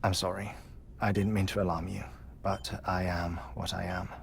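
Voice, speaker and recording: An actor playing a calm, measured, dryly wry man who dies every twenty-one minutes, in a video game cutscene. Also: deep voice, gravelly, noisy background. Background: game soundtrack music.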